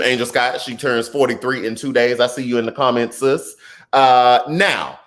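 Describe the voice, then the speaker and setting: A man in his thirties hosts a live political show, talking casually with a lively, swooping pitch. He shifts easily between serious points and playful asides. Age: 30s. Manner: animated and conversational, drifts into chatty asides and laughs easily. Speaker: political talk show host. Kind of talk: live-streamed political commentary, reading viewer comments.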